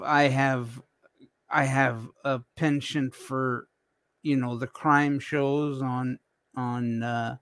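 A man hosting a casual adult podcast chat, talking slowly and haltingly, often repeating words. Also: mid-range voice, creaky, a bit bright, no echo. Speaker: host.

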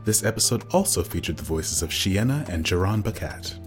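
A man's voice: deep voice, lively intonation, brisk, soft background music. A man reading scripted end credits as an announcer.

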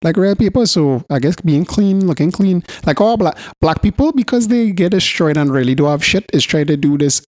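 A man with a Trinidadian accent co-hosting a podcast, bantering in casual slang and telling stories in an animated, coarse, sweary way. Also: on the high side, fairly quick, hardly any pauses, no echo, studio quality. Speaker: podcast co-host.

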